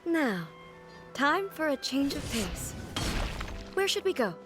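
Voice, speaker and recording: A woman voicing an aloof goddess ruler in a game cutscene, speaking in a calm, measured, formal way. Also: sits high, full character voice. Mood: curious. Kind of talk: video game cutscene voice acting. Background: faint game music.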